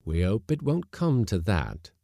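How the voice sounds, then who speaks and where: A man's voice: lowish voice, huge pitch swings, a little slow, gravelly, warm tone, dry recording, studio quality, voice pushed up, heavily acted. A man voicing a stiff, self-important ship's captain in a storybook, commanding and trying to sound dignified. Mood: stiff dignity. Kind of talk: storybook character voice.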